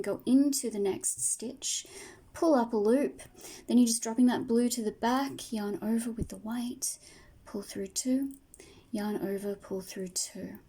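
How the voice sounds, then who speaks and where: A woman teaching crochet step by step, patient and soft-spoken, her voice tired and fading from a long day of talking. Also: fairly high pitch, slightly rough. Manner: soft, patient, talks through each stitch. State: losing her voice. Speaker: crochet tutor. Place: home table, indoors.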